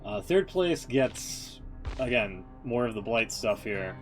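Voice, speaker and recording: A male game streamer talks casually with music playing behind him. He rambles through his opinions in slangy, sweary language at a middling pitch. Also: very animated, slow. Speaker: video game livestreamer. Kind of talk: casual, rambling ranked commentary.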